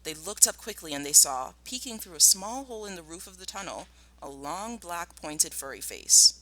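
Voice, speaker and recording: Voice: mid-range voice, lively intonation, moderate pace, sharp tone, poor audio. A primary school teacher explaining a lesson and narrating a storybook expressively.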